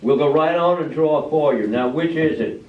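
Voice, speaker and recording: A man in an amateur stage play acting an orderly small-town official who directs people with folksy, halting authority. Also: on the high side, lightly acted. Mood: orderly, businesslike. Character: brisk, orderly small-town official running a public drawing. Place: library room, staged performance. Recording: echoey, muffled, distant room with faint noise.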